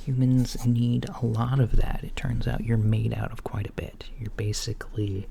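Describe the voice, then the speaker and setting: A soft-spoken man playing a mild, curious alien doctor in an ASMR roleplay, hesitant and politely clinical, often dropping toward a whisper. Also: medium pitch, flat delivery, normal speed, vocal fry. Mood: gentle, matter-of-fact. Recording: lo-fi with faint background noise.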